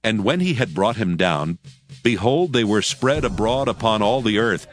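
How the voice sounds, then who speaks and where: A man narrating scripture as a scripted audio drama, with solemn, measured storytelling. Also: fairly low pitch, animated, no echo. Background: music bed.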